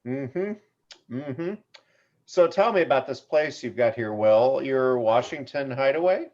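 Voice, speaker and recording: A man's voice: medium pitch, lively intonation, takes it slowly, darkish tone. A man playing a slippery, smug tabloid reporter in a screenplay table read. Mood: prying. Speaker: amateur actor in an online table read. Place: remote video call. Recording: dull video call audio.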